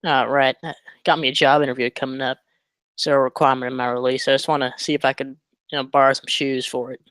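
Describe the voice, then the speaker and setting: A man acting a remorseful, awkward ex-prisoner in a film script read, with a halting, apologetic way of talking. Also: mid-range voice, little pitch movement, a bit bright, smooth, dry recording, natural voice. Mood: awkward, tentative.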